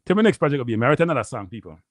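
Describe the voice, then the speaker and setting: A Jamaican man hosting a live stream, rambling casually to his viewers and repeating himself, with a deep voice. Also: no echo, clean studio sound. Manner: rambling, repeats phrases, addresses viewers as people. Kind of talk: casual livestream chat.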